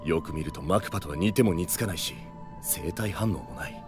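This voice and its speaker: Low male voice, cool analytical space cadet, blunt, grave, dramatic swings, music behind. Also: expressive, fairly quick. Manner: blunt, logical. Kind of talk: Japanese voice drama acting.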